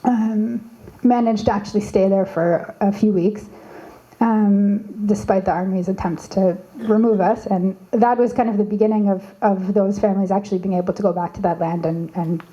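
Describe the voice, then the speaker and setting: A woman from Canada, probably in her thirties, answering as a panelist, thoughtful with many pauses and fillers. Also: mid-range voice, steady tone, dark tone, highs cut off.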